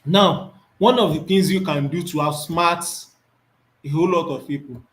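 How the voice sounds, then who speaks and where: A man's voice: fairly high pitch, moderate pace. Man teaching a screen tutorial. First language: Yoruba. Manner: thinks aloud, repeats words while reading off the screen. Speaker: instructor.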